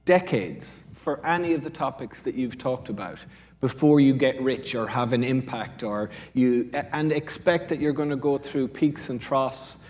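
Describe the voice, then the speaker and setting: A man's voice: medium pitch, normal speed, darkish tone. A man with an Irish accent answering as a panel speaker from a business school, discursive and often rephrasing mid-sentence.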